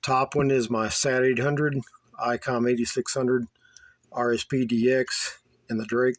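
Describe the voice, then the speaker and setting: A man giving a casual, unscripted tour of his hobby setup, plainspoken and halting, often repeating himself. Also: medium pitch, level tone, dry recording. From United States. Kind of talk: casual unscripted show-and-tell. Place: small home radio room.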